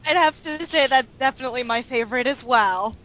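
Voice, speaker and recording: A woman's voice: high-pitched, brisk, a bit bright, a little hiss or hum, rough recording. A woman talking casually in a group chat as an interviewed voice actor. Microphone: online voice call.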